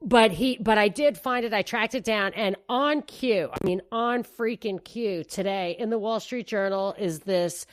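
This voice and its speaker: Animated woman co-hosting a podcast. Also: mid-range voice, measured pace, hardly any pauses, no room sound. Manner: animated, rambling, restarts mid-thought. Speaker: podcast co-host. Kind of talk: casual political commentary.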